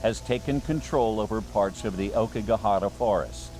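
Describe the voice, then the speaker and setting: A man playing a solemn documentary narrator in a scripted analog horror video, measured and formal. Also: fairly low pitch, over music, close to an everyday voice. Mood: grave. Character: solemn male documentary narrator of monster disaster. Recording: very noisy analog horror soundtrack.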